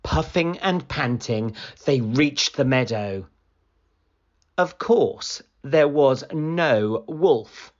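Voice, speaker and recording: A woman reading a picture book aloud for children in an animated, deliberate storytelling manner. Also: a bass voice, lo-fi sound.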